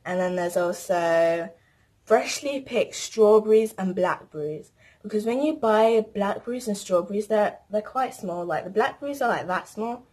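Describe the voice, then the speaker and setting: A woman's voice: medium pitch, lively intonation, moderate pace, darkish tone. Teen girl rambling thru facts about herself, chatty vlog voice. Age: teens. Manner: chatty, rambling, lists things. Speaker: vlogger. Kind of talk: casual chat to camera.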